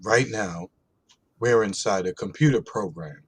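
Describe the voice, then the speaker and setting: A man plays a bewildered young man, newly awakened, in an amateur radio play. His voice is very husky and on the low side. He asks his questions slowly. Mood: confused. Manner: slow, questioning.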